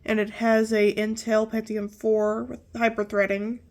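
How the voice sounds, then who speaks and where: A young woman hosts a relaxed tech video, her voice nasal from pollen allergies. She talks in a loose, rambling way, doubling back to correct herself and apologize as she goes. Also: a little high, a little slow. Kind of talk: casual hardware walkthrough.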